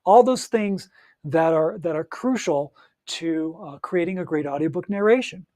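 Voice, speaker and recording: A man's voice: fairly high pitch, moderate pace, warm tone, dry recording. Upbeat man coaching, dry close sound. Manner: upbeat, encouraging, speaks straight to the listener; frequent fillers and restarts. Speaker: audiobook coach. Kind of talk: motivational solo talk.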